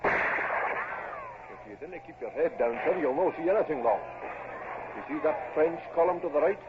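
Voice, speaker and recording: An actor playing a dry, unflappable Scottish major of Marines in an old radio drama. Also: male voice, a little high, wildly swooping pitch, unhurried. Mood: dry, calm. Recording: tinny, noisy vintage radio recording.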